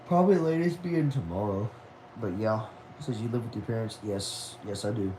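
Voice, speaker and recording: A man's voice: lowish voice, moderate pace, background noise. A man of about twenty chatting casually on a livestream at home, reading viewer messages aloud in a laid-back, talkative way, dropping "y'all" and "man". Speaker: livestream host.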